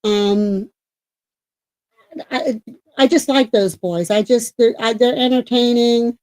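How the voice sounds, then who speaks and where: A middle-aged woman co-hosting a paranormal talk show, chatting casually and loudly with a projecting voice, rambling with many restarts and self-corrections. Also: fairly high pitch, fairly even intonation, takes it slowly, no echo. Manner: self-described talker, loud and projecting. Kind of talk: casual livestream chat.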